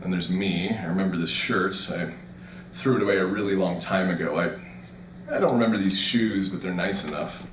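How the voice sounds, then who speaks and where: A man playing a bewildered, weary man in a naturalistic audio drama, hesitant and halting. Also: low-pitched, reverberant, a little hiss or hum, lightly acted, poor audio. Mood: wistful, puzzled. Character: bewildered, weary dead man revived inside a simulation.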